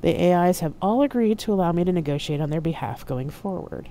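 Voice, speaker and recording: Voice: medium pitch, expressive, a little fast, gravelly, dark tone, a little hiss or hum. A narrator as a serene, commanding woman avatar. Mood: assured, measured.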